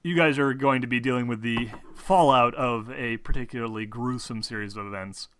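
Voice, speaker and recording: A man narrating a tabletop roleplaying game as game master, in an informal, halting, sweary way. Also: medium pitch, a bit bright, a little hiss or hum.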